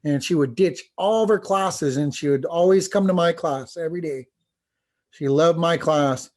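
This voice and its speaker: Higher-pitched man, earnest and halting. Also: darkish tone. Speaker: language teacher, panelist. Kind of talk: presentation with testimonials read aloud.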